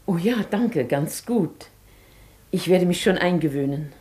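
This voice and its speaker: Reserved, mellow, low-voiced lady. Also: animated, unhurried. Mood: polite. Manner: polite, reserved, ladylike. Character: polite, reserved lady, newcomer at a sanatorium. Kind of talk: German radio play acting.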